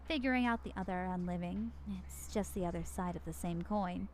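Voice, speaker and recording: A woman's voice: lowish voice, fairly quick, a little mellow, slight background noise, natural voice. An actress voicing a brash, streetwise vampire woman in a video game, with a blunt, playful swagger. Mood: flirty, confident.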